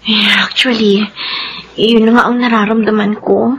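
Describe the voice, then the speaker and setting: An actress playing a trusting but anxious wife in a radio drama, hesitant in speech. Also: female voice, medium pitch, little pitch movement, normal speed, slight background noise, close to an everyday voice, poor audio. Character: Elsa, trusting worried young wife.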